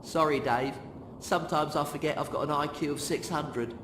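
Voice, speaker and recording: A dim but matter-of-fact ship's computer, voiced by a man in a sci-fi comedy audiobook. The delivery is deadpan and a little high, with roomy echo and clear background noise. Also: normal speed, lightly acted.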